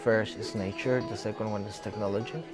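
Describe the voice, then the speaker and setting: A man's voice: lowish voice, steady tone, brisk. A young man from Ecuador, a native Spanish speaker with an Ecuadorian accent, talking in a documentary interview as a student video producer. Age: young adult. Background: faint music.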